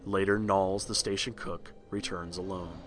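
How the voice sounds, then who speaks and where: A man's voice: low voice, little pitch movement, moderate pace, a bit bright, faint music behind. A man reading a scripted storybook narration for a read-along record.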